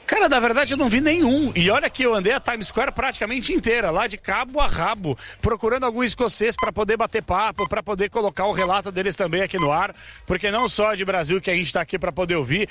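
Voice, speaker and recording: Man, radio field reporter, high voice, bright tone, outdoor square, joking and chatty. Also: fast, faint music behind. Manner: lively, joking, chatty with passers-by. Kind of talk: live radio street report. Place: outdoors on a busy city square.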